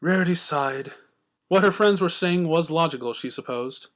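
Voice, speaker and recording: A man's voice: on the high side, moderate pace, a bit bright. A man reading scripted fan fiction as a storyteller. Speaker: story reader.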